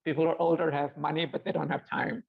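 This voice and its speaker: A man's voice: fairly high pitch, level tone, fast talker, gravelly, husky. Reflective man on a video call. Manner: reflective, gestures a lot while talking. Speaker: guest. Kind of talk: casual video-call conversation. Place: home room with bookshelves. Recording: slightly dull lo-fi call audio.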